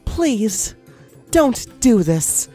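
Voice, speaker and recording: Man voicing a gentle, hesitant motherly goat woman. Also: high-pitched, sing-song, extremely slow, faint music behind, pitched above the performer's own voice, exaggerated voice. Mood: pleading. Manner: pleading, hesitant.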